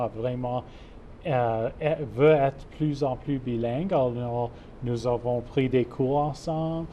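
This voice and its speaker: A man from Calgary with an English Canadian accent, English being his first language, answers a TV interview in careful, halting French. His voice is mellow and warm at a middle pitch, full of fillers. Faint background noise sits underneath. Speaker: guest, club co-chair.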